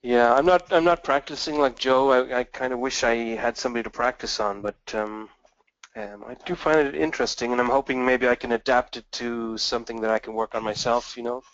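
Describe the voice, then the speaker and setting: Soft-spoken Irish man on a call. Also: mid-range voice, fairly even intonation, a little fast, lo-fi sound. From Ireland. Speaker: student. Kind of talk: question-and-answer on a call.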